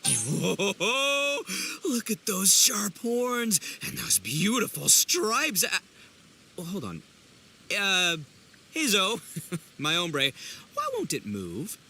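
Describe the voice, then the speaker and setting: A man's voice: high voice, huge pitch swings, very slow, creaky, long pauses, bright, forceful tone, slight background noise, exaggerated voice. An actor playing a brash, gullible, overconfident young oni man in a video game, with boastful, theatrical, slangy delivery. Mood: delighted, then puzzled. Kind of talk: animated video game voice acting.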